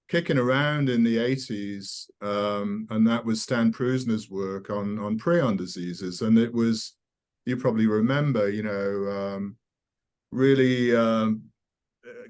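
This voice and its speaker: An older man from South Wales with a softened British accent, speaking as a guest scientist in a conversational, halting, informal way. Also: on the low side. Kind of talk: conversational interview, personal recollection.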